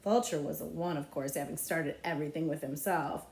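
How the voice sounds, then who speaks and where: A woman narrating a children's novel aloud as a librarian would, with expressive storytelling. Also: low-pitched, brisk, gravelly. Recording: echoey, roomy sound.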